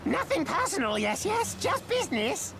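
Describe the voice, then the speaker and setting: Fawning, squeaky smuggler. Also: female voice, very animated, normal speed, heavy background noise, full character voice. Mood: sly. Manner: obsequious, repeats words. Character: fawning shifty high-voiced smuggler. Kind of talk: video game cutscene acting.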